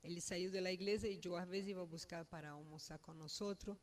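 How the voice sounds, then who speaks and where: A woman with a Brazilian Portuguese accent, a native Portuguese speaker, giving a halting, unscripted personal speech at a funeral, searching for words. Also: lowish voice, steady tone. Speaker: mourner giving a family eulogy.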